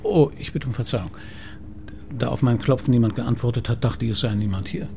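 Dry, gravelly police commissioner played by a man. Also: medium pitch, a little fast, noisy background, lightly acted. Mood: polite, probing. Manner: probing, politely ironic. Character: male police commissioner, persistent, dryly sardonic. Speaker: actor in a radio crime drama. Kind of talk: naturalistic German radio drama acting.

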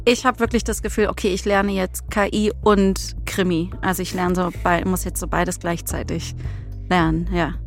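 A woman's voice: on the low side, level tone, normal speed, creaky, soft background music. Enthusiastic female co-host chatting casually. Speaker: podcast co-host. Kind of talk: casual studio chat.

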